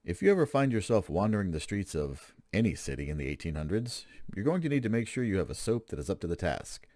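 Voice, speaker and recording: Man acting deep-voiced radio sponsor announcer. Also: big pitch swings, fast talker, a little mellow, a little hiss or hum. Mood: salesmanlike. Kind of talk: scripted radio ad read.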